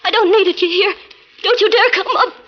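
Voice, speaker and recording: A woman's voice: extremely high pitch, fairly quick. An actress playing a frightened, frantic married woman in peril, in breathless 1940s radio melodrama style. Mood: frantic, pleading. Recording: muffled, noisy 1940s radio recording.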